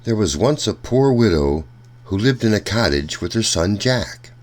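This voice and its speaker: A man reading a children's storybook aloud in an expressive way, addressing young listeners as a storytime narrator. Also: on the low side, normal speed. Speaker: storytime reader.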